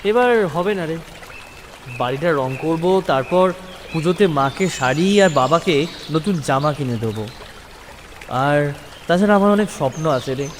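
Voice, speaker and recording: A man's voice: fairly high pitch, expressive, moderate pace, pauses often, close to an everyday voice. A man playing a dutiful, hardworking young delivery man in an audio story, earnest and affectionate. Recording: noisy recording with long hall reverb.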